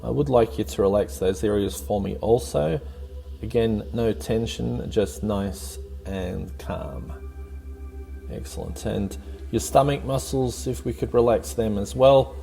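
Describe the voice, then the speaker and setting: A man leading a guided meditation, giving calm, gently encouraging, unhurried instructions in a soothing way. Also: fairly low pitch, vocal fry, faint music behind.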